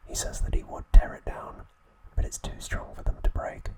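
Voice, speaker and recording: A man narrating an ASMR trivia recap in a breathy, hushed whisper, speaking in short, measured phrases. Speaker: ASMR host.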